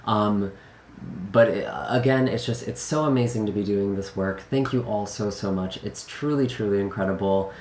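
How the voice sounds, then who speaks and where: A man hosting a tarot channel, speaking in a gentle, earnest way with frequent fillers, his voice slightly raspy after being sick. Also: lowish voice, normal speed, roomy sound, a little hiss or hum. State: recovering from illness. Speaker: tarot reader. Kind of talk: casual channel intro.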